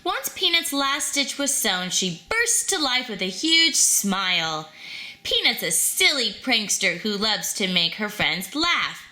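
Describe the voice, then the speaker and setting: Woman, children's read-aloud, bright tone, swooping intonation, expressive storyteller. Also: mid-range voice, slow. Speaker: storybook reader.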